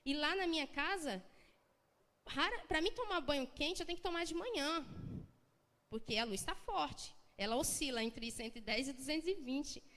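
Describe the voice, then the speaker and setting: A woman's voice: sits high, normal speed, fairly bright tone. A young Brazilian woman from the São Paulo coast with a local accent, giving an earnest, emotional personal testimony in church, talking conversationally with many restarts. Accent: Brazilian Portuguese, São Paulo coast. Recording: long church hall reverb.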